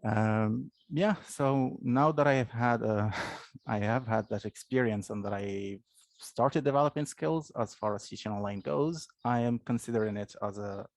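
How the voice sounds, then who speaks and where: A Moroccan man in his thirties, English not his first language, talking thoughtfully as an interview guest with many pauses and restarts. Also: medium pitch, no echo. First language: not English; English is his third language. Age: 30s. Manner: thoughtful, many fillers and restarts, laughs often. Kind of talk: casual interview.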